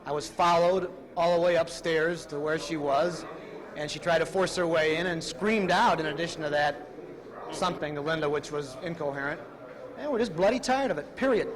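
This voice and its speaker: A man's voice: on the high side, moderate pace, poor audio. An American man, an attorney giving press remarks in a blunt, fed-up, plain-spoken way. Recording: archival newsreel with crowd noise.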